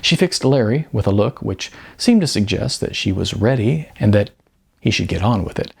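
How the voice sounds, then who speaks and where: Male audiobook narrator, lively intonation, noticeable vocal fry, scripted storytelling. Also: fairly low pitch, moderate pace.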